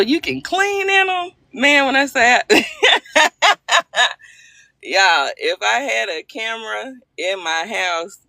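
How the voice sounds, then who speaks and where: A chatty, playful woman talks to her viewers over a live stream, her voice gravelly with a bright, forceful edge. She laughs easily and greets people by name. The phone recording is close and dry. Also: fairly low pitch, no room sound. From Arkansas, US South. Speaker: livestream host.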